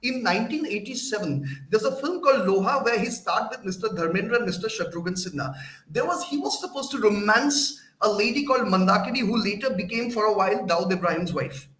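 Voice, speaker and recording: Indian English man, fairly high voice, lecturing a webinar with insistent emphasis, roomy echo. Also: lively intonation, a little fast, a bit bright. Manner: emphatic, preachy, asks the audience rhetorical questions. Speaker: webinar guest speaker. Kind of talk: motivational lecture to students.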